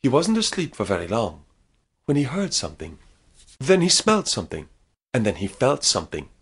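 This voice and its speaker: A man with an Irish accent telling a folk tale with dramatic pauses, then chatting as a guest. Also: mid-range voice, wildly swooping pitch, gravelly, fairly bright tone, slightly rough. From Ireland. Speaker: storyteller. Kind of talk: storytelling and interview chat.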